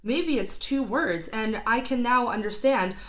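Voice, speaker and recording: A woman's voice: fairly high pitch, measured pace, fairly bright tone. A woman giving casual, enthusiastic reaction commentary as a vocal coach, breaking down a singer's technique and thinking aloud with fillers. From not Filipino; studied singing.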